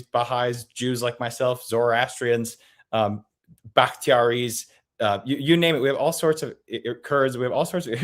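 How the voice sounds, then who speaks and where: A man from the United States, a guest on a political interview, speaking earnestly and quickly with many restarts and small nervous laughs over a video call. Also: medium pitch. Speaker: advocacy group guest.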